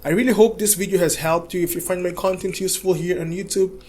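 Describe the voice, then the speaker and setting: A man with a slightly high voice guides viewers through a tech tutorial. He talks casually and step by step, in a reassuring, instructional manner. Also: steady tone, fast, a little mellow.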